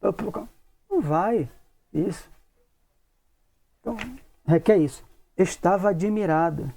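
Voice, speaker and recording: A man's voice: high voice, a little slow, gravelly, dark tone. A man, leading an informal study talk and acting out imagined questions in a conversational way. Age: 60s. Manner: conversational, acts out imagined questions, repeats tag questions. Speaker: spiritist study group leader and lecturer.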